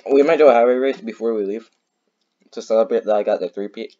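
A young man casually commenting on a racing game, excitable and rambling with lots of fillers and laughs. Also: lowish voice, fast talker, lo-fi sound. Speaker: gaming YouTuber.

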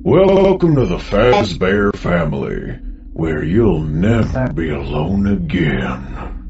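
A man playing a menacing, mock-welcoming animatronic bear in horror voice lines, gravelly and drawn-out in his threats. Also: deep voice, wildly swooping pitch, full character voice. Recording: dull lo-fi audio under music.

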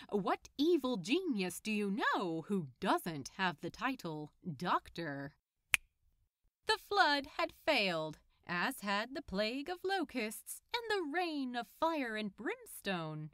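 A woman reading fan fiction aloud as narrator, in an expressive storytelling style. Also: mid-range voice, no room sound, studio quality.

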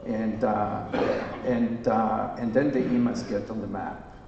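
An older man from Italy, a marine scientist, speaks with passion and rhetorical force, breaking his sentences with frequent fillers. His voice is low and gravelly. The recording sounds distant and muffled, and he echoes through a large hall with a long reverb. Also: steady tone, slow pace, noisy background. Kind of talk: onstage dialogue, impassioned. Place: large hotel conference hall, on stage.

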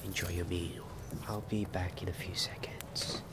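Soft-spoken, kindly wizarding innkeeper, male, acted by a man, for ASMR roleplay: very low, slow, soothing, often whispering, ambience noise behind. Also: level tone, creaky. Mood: gentle, soothing. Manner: gentle, soothing, unhurried. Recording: background ambience noise under close voice.

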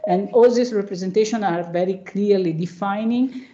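A woman with an Italian accent, a native Italian speaker, giving an academic presentation over a video call in a halting way, searching for words. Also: dark tone. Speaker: academic panelist, professor. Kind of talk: lecture presentation with slides. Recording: muffled low-bandwidth video call audio.

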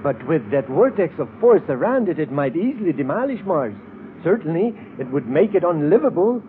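A man's voice: a little high, sing-song, normal speed. A man playing a grave, precise astronomy professor in 1950s radio acting. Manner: precise, explanatory. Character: learned male astronomy professor, grave, deliberate. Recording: tinny, noisy old radio recording.

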